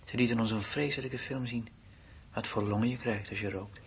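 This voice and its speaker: An actor playing a blunt, uneasy soldier in a Dutch radio drama, with plain, colloquial, matter-of-fact delivery. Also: male voice, medium pitch, steady tone, a little fast, lightly acted. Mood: blunt, resigned. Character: blunt, uneasy male soldier left behind at his post. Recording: noisy old radio play recording.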